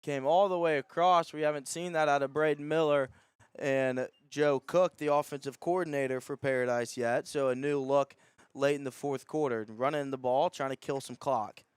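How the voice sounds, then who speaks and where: A man calling live high school football as a radio play-by-play announcer. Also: steady tone, moderate pace, clean tone, no echo.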